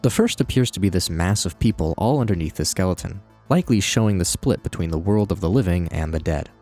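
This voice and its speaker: A man with a low voice narrates a video essay as its host. His commentary is conversational and animated, with wry asides, over music. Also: quick pace.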